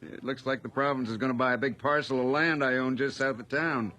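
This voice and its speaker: An actor playing a genial, evasive small-town police chief in a radio drama, with a hearty, folksy manner. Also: male voice, mid-range voice, fairly even intonation, brisk, pushed and bright, lightly acted.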